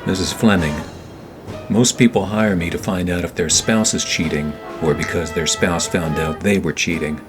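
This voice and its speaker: A man playing a weary, wry 1940s private eye in a noir radio play, wisecracking and narrating in a deadpan manner. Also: deep voice, moderate pace, music in the background, lightly acted.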